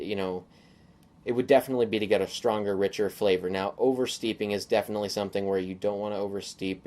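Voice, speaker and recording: A young man hosting a solo podcast, rambling casually with lots of fillers and restarts, his voice a little hoarse from a sore throat. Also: lowish voice, mellow. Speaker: podcast host.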